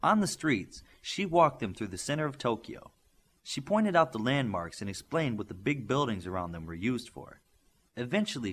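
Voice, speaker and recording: A man's voice: medium pitch, fairly bright tone, breathy edge. A man reading scripted fiction as an audiobook narrator.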